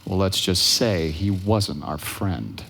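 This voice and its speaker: A brash, bright-voiced cocky smuggler pilot. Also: male voice, low voice, takes it slowly, creaky, exaggerated voice. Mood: wry, teasing. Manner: brash, wisecracking. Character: cocky, sardonic young male smuggler starship pilot. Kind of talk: live audio drama acting. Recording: live stage recording with background noise. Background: sound effects.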